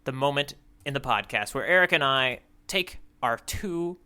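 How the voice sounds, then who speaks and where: Male podcast co-host, very bright and forceful, playful and mock-formal in casual comic chat. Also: medium pitch, expressive, normal speed, smooth.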